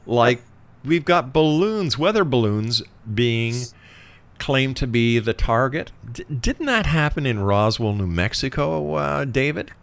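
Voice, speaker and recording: A man's voice: medium pitch, animated, a little slow, vocal fry. A man presenting a radio talk show with an emphatic announcer's delivery in short phrases.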